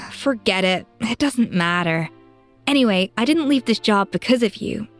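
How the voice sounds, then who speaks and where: A woman's voice: mid-range voice, lively intonation, unhurried, faint music behind, natural voice. A woman playing a proud, blunt young master chef in a video game, with a commanding, scolding manner. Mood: curt, reassuring.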